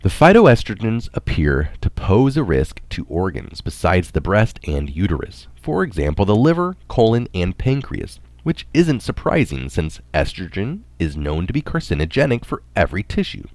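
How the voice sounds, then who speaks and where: A man's voice: low-pitched, big pitch swings, moderate pace, few pauses, a little mellow, no echo. A man narrating a scripted science article, reading it aloud.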